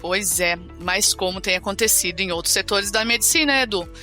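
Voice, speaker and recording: Woman, podcast co-host, casual chat, upbeat, bright tone. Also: medium pitch, fairly quick, soft background music. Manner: upbeat, chatty, often adds "né".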